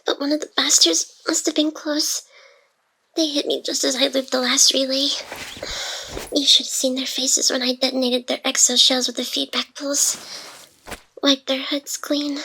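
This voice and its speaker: Wounded, devoted AI hacker girl, played by a woman: high-pitched, breathy, teasing, halting, whisper-prone, close ASMR roleplay delivery. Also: level tone, pushed and bright, slight background noise, exaggerated voice. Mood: hushed, pained. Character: playful, wounded young female AI hacker, secretly devoted. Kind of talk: intimate ASMR roleplay acting.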